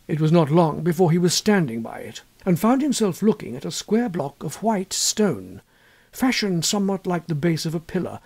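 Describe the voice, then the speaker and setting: Expressive, husky-voiced male storyteller. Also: on the high side. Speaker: audiobook narrator. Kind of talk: scripted literary ghost story narration.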